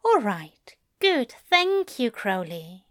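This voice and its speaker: A woman reading a story aloud and voicing a gentle, caring man who speaks softly and reassuringly. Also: on the high side, big pitch swings, slow pace, no echo, clean studio sound. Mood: tender. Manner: soft, tender, reassuring. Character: gentle, fussy, kindly man, soothing and caring. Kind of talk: audiobook narration of fan fiction.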